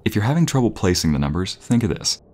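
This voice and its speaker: Very deep-voiced man, playful boyfriend roleplay. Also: very animated, fast, darkish tone.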